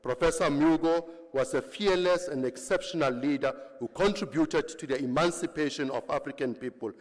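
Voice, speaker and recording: A man reading a formal honorary degree citation at a graduation, halting and restarting over names. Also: on the high side. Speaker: ceremony presenter reading a citation. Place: large university great hall. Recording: long reverb of a large hall.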